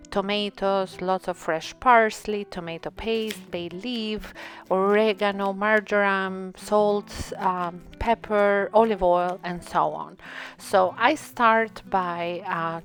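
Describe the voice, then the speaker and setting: Chatty Albanian-born woman cooking host. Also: medium pitch. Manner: relaxed and chatty, with frequent ums and likes. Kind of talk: casual cooking tutorial voice-over.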